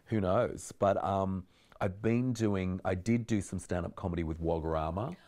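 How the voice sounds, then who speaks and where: A man's voice: sits low, moderate pace, darkish tone. A man in his forties, an actor, chatting animatedly in an interview in a living room and laughing often. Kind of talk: casual interview chat. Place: living room with bookshelves, indoors.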